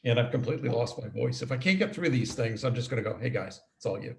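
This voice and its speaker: A man hosting a webinar, giving introductions and casual asides in a halting way with frequent throat clearing. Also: mid-range voice, animated. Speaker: webinar host and compliance consultant.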